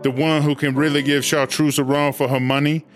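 A man's voice: a little high, little pitch movement, brisk, natural voice. A man playing a swaggering, streetwise female prison inmate in a comedy audio drama, cocky, slangy and offhand. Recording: loud music under the voice.

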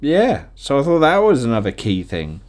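Male podcast host, informal solo chat, mid-pitched voice, jokey and conversational. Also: huge pitch swings, moderate pace, darkish tone. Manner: jokey, laughs often at own remarks; acts out imagined quotes. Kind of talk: casual chatty podcast monologue.

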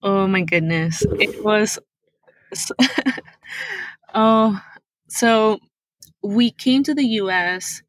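A woman's voice: mid-range voice, animated, drawn-out words, pauses often, fairly bright tone, dry recording, poor audio. A woman from Peru whose first language is Spanish, a tech guest telling her life story earnestly and with animation. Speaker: guest, tech product manager. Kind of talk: interview answers, personal storytelling.

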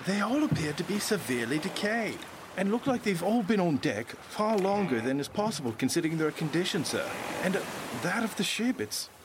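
High-voiced, hesitant naval ensign. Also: male voice, very animated, background noise. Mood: uneasy, hesitant. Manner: hesitant, halting. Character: uneasy, deferential young male naval ensign.

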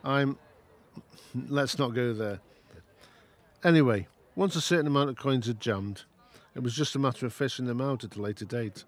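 Bloke as grown-up narrator reminiscing about being a kid, wry, normal pitch, amateur audio drama. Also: male voice, moderate pace, close to an everyday voice. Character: wry grown-up man looking back on boyhood.

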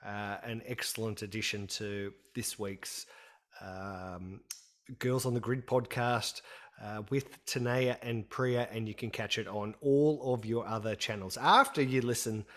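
A man's voice: lowish voice, steady tone, a little slow, fairly bright tone. A man co-hosting a casual motorsport podcast, talking loosely with plenty of fillers and restarts. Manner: chatty, with many fillers and restarts. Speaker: podcast co-host.

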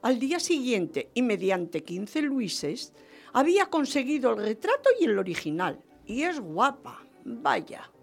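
A woman's voice: on the high side, very animated, unhurried, gravelly, faint music behind, heavily acted. A woman playing an exuberant, vengeful French marquise in radio theatre, theatrical and gushing, repeating phrases for emphasis. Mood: conspiratorial, indignant. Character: exuberant, scheming, vengeful French marquise, gleeful about her marriage. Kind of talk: radio theatre acting of a period story.

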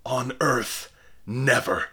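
A man's voice: medium pitch, slow pace, pushed and bright, husky, pitched above the performer's own voice, heavily acted. A man voicing a dying old male clergyman who speaks in a solemn, archaic way. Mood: fierce.

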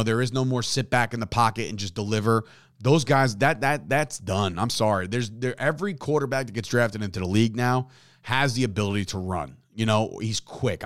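A man hosting a sports podcast, talking in a casual, animated way and often repeating himself and asking "right?". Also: mid-range voice, no room sound, studio quality. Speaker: podcast host.

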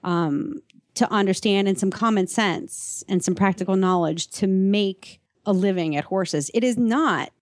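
A woman's voice: medium pitch, a little slow, dry recording, clean studio sound. A woman co-hosting a casual podcast conversation, explaining things in a practical, matter-of-fact way. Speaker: podcast co-host.

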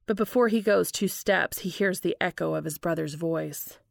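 Female narrator, lower register with noticeable vocal fry, reading fiction in a close, dry sound. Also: moderate pace, no echo. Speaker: audiobook-style reader of fan fiction. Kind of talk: dramatic scripted narration of a story.

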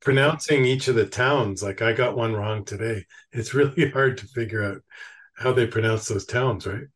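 A man's voice: medium pitch, moderate pace, fairly bright tone, breathy edge. A man from Canada hosting a podcast, chatty and anecdotal, asking long questions. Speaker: podcast host. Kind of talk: podcast interview.

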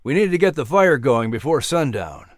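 A patient woodsman uncle, voiced by a man, explaining things with a lively, rising and falling voice. It is recorded dry and close. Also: medium pitch, normal speed, no room sound, lightly acted. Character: patient adult uncle, wise woodsman teacher.

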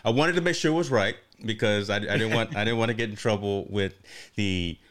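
A man hosts a casual live interview show. His voice sits very low and sounds smooth and resonant. He chats informally, repeating short phrases to drive a point home. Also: a little fast, fairly bright tone. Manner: chatty, repeats phrases for emphasis. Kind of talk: casual livestream interview hosting.

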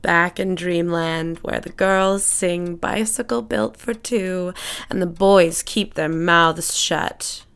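Actress as sardonic housewife, slow drawl, lowish voice, bitter, imperious, sedated and bedridden, audio drama. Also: female voice, steady tone, creaky, a bit bright, clear, resonant tone, full character voice. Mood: scornful, weary.

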